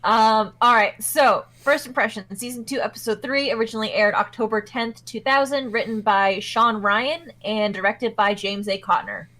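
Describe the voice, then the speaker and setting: A woman's voice: a little high, normal speed, few pauses, fairly bright tone. A woman co-hosting a podcast, retelling a TV episode in a chatty way and laughing often. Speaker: podcast co-host. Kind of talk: casual episode recap.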